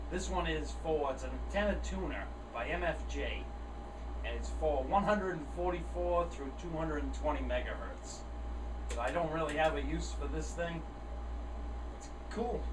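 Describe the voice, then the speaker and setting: A man giving a casual, unscripted show-and-tell, plain-spoken and thinking aloud as he goes. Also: fairly high pitch. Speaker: hobbyist video host showing gear. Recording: roomy, distant mic, air conditioner noise. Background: air conditioner running.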